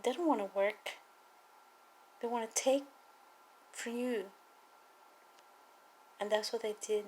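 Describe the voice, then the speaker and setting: Slow, earnest Cuban-accented woman. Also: fairly high pitch, darkish tone, a little hiss or hum. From Cuba. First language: Spanish. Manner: earnest, emphatic, deliberate with repetition. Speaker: political activist speaking to viewers. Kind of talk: personal testimony and political appeal.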